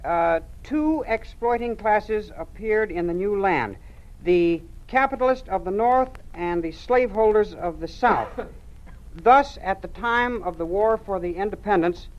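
Jittery student reciting, lots of uhs, muffled old radio. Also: male voice, sits high. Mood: nervous. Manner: halting, hesitant, full of uhs. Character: nervous young male student reciting. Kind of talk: 1950s radio drama acting. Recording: dull lo-fi old radio recording.

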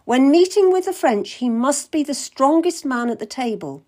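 A woman reading an audiobook voices a pompous, patronizing older male cardinal in a smooth, condescending, deliberate way. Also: high voice, expressive, darkish tone, voice pushed up. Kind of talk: audiobook character voice.

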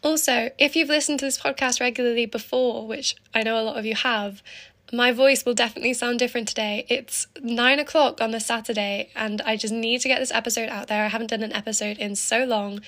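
A teenage girl with an English accent talks through her solo podcast in a chatty, rambling way. Her voice is hoarse and gravelly from a cold, sitting a little high. She drifts off on tangents as she goes. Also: steady tone, brisk, a bit bright. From England. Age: teens. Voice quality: raspy. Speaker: podcast host. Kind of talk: casual solo podcast chat.

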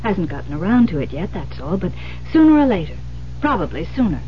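A woman acting in a 1970s radio drama as a composed, insistent police detective who explains things deliberately and persuasively. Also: on the low side, sing-song. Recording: dull, noisy 1970s radio recording.

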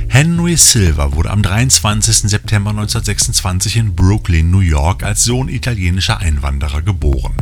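A man presenting scripted film and release news as a podcast host, with wry asides addressed to the listeners. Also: fairly low pitch. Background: music under the voice.

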